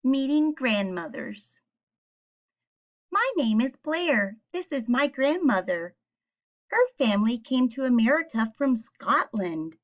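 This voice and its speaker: A woman teacher reading a picture book aloud and speaking to young children with simple questions and clear explanations. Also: high-pitched, sing-song, slow, highs cut off.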